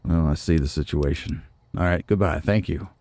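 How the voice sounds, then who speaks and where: A man's voice: low voice, moderate pace, a little mellow, dry recording. A man giving casual, playful gameplay commentary, talking back to characters in a theatrical way. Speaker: let's play host.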